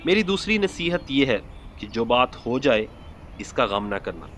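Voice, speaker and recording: A man voicing a small, wise female sparrow in a storybook moral tale, admonishing and deliberate as she gives advice. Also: mid-range voice, very animated, fairly bright tone, faint music behind. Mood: instructive.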